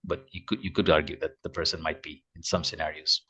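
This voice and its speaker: A man's voice: very deep voice, flat delivery, fast talker, a crowd in the background. A man presenting in a webinar, answering questions hesitantly with many fillers over a video call. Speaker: guest presenter.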